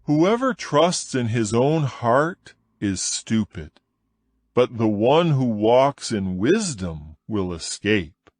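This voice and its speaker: A man reading scripture aloud in a dramatized Bible recording, with a slow, measured and solemn delivery. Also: medium pitch, sing-song, no room sound. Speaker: scripture reader. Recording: soft music bed behind voice. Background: faint background music.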